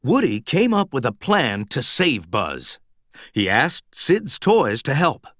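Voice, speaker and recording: A man reading a children's storybook aloud in an expressive, dramatic, emphatic storytelling manner. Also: mid-range voice, takes it slowly, no room sound, clean studio sound. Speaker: storybook narrator.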